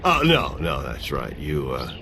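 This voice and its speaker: A man's voice: a bass voice, huge pitch swings, unhurried, vocal fry, pushed and bright, slight background noise, full character voice. An actor playing a sardonic middle-aged exile who laughs at his own jokes and loves whiskey.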